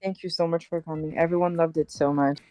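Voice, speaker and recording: A woman's voice: deep voice, little pitch movement, brisk, dull audio, over a noisy crowd. A woman streamer interpreting for a guest, chatty and a bit nervous, with many fillers and restarts. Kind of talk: casual live stream chat and interpreting.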